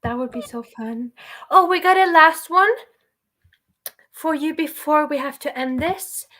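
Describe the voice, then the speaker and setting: A young woman with a Norwegian accent hosting a casual livestream interview, bubbly and encouraging, quick to laugh. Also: high voice, expressive, sharp tone. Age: young adult. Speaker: host.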